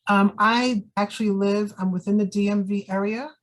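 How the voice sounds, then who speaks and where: New York-accented woman poet, gentle reading voice, mid pitch. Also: steady tone, moderate pace. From Queens, New York. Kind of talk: poetry reading.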